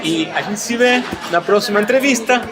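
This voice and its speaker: High-pitched man, casual interviewer. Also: moderate pace, crowd noise. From Argentina. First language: Spanish. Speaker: interviewer and host.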